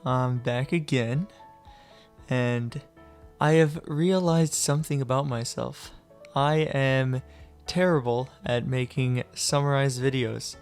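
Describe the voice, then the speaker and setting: A man's voice: mid-range voice, clean tone. A young man narrating a casual game tutorial, chatty and joking, with loose fillers, a little sick. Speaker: gaming guide creator.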